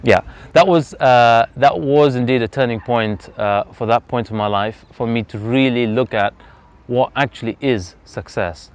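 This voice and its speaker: A man, telling his personal story as an interview guest outdoors in earnest, reflective, measured speech. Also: medium pitch, smooth. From Zambia. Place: outdoors on a freezing day. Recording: slightly dull lo-fi outdoor recording.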